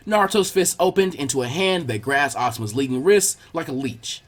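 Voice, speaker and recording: A man's voice: on the high side, animated, a little fast. A man reading fan fiction aloud as a scripted story narration.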